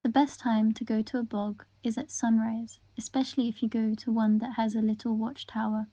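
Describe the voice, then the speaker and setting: A woman reading interview answers aloud in the first person, in an even, gentle and unhurried way. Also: fairly high pitch, no echo, highs cut off.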